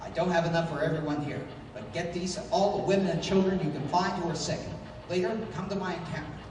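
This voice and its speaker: A man acting a calm, resolute, commanding adventurer doctor in the style of a 1930s pulp radio drama. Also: on the high side, fast, noisy background, natural voice. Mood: firm, reassuring. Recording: very echoey distant hall with music.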